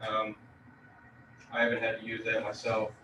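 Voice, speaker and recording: A man giving a relaxed real estate lecture, leaning on fillers as he explains. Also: fairly low pitch, normal speed. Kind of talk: casual classroom lecture. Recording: echoey room, distant mic, noisy.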